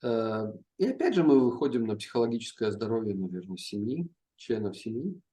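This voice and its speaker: A middle-aged man speaking as a guest professor in an interview, answering in a measured way with many pauses and hesitations. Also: fairly low pitch, very animated, dark tone.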